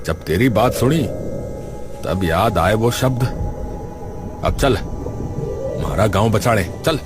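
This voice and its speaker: A man's voice: lowish voice, animated, slow pace. A man voicing a proud, earnest village headman in a cartoon story, with an emphatic, dramatic manner. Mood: urgent, earnest. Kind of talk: animated cartoon story dialogue. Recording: loud background music.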